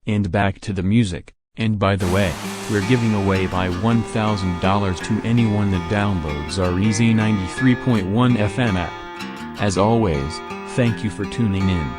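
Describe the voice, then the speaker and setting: Low-voiced male radio announcer over music. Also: moderate pace. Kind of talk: radio forecast and station patter.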